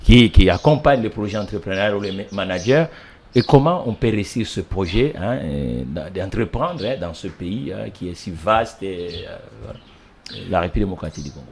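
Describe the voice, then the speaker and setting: A man's voice: low-pitched, animated, brisk, a little hiss or hum. A man interviewing a guest, prompting her and finishing her sentences. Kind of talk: interview talk.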